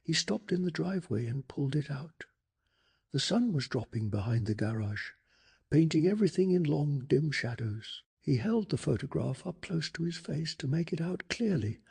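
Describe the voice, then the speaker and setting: A man softly narrating a bedtime story in a calm, hushed ASMR style, telling it with deliberate drama. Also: a little high. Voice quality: soft, breathy.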